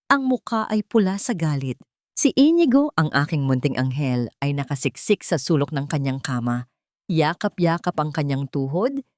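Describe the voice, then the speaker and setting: A woman's voice: on the low side, darkish tone, no room sound, studio quality. A woman with a Filipino accent reading a dramatic first-person story as an audiobook narrator.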